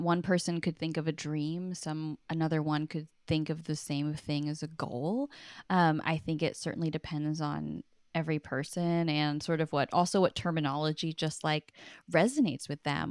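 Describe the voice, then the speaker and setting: A woman speaking as a guest author in a casual, conversational interview, telling stories in an informal, animated way. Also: deep voice, smooth, dry recording, clean studio sound.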